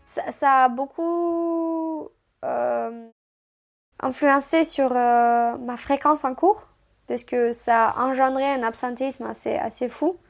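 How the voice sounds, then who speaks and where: A young woman from Moldova, a native Romanian speaker, talks through her memories in a relaxed podcast chat. Her voice sits fairly high, with a gravelly creak at the ends of phrases. She is chatty and self-mocking, trips over her words and starts sentences again, with soft music underneath. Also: slow pace. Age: 20s. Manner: chatty, self-mocking, frequent restarts and laughs. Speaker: podcast guest. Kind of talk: casual personal storytelling.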